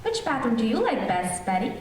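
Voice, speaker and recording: A woman's voice: a little high, sing-song, a little fast, faint music behind. A woman playing a fussy, kindly middle-aged housewife in old-time radio comedy style. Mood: fussy, chatty. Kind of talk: 1940s radio comedy acting. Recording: very echoey, distant, faint noise.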